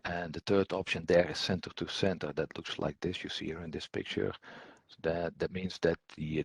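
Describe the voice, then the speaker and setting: A man giving an unscripted software tutorial as instructor, speaking haltingly and hesitantly, often repeating words. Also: sits low, vocal fry, breathy edge, no room sound.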